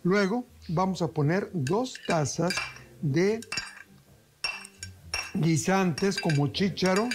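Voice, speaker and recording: Folksy TV cooking host. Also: male voice, high voice, noisy background. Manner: warm, folksy, addresses viewers as family. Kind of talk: TV cooking demonstration. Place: TV kitchen studio set.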